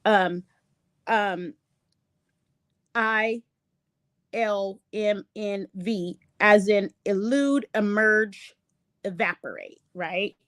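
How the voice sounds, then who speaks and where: A woman hosting an online lesson, reading from slides in a halting way with many ums and restarts; English is not her first language. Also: medium pitch, fairly bright tone, no echo. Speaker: online show host. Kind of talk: casual lecture reading from slides.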